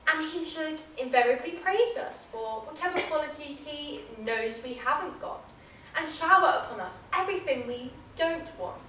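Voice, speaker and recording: A woman's voice: high voice, expressive, normal speed, sharp tone, a little hiss or hum. A woman performing a theatrical monologue as a witty, arch Victorian society lady, drawing out and savoring each phrase. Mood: arch, teasing. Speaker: drama student performing a monologue. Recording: very echoey room, mic far off.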